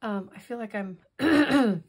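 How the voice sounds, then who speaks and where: A woman talks through a craft project at an unhurried pace, musing aloud as she works. Her voice is hoarse and husky today. She often drops into soft, whispered asides. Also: on the high side. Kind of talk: casual craft tutorial, thinking aloud.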